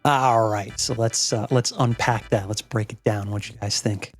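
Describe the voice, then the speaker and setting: A man hosting a reaction show in casual chat, often halting and repeating words as he explains. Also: on the low side, fairly even intonation, soft background music.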